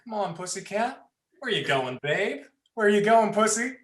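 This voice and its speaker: Guy playing nasty leering horror brother, taunting, pitch jumps around, roomy echo. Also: big pitch swings, fairly bright tone. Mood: taunting menace. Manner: mocking, drawn-out taunts. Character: leering, taunting, sadistic young twin brother. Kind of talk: dramatic livestream script reading.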